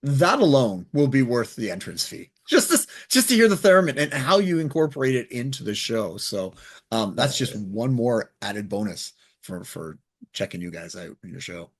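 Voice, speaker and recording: Male interview host, husky edge, animated intonation, conversational delivery. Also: mid-range voice, normal speed. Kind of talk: casual interview chat.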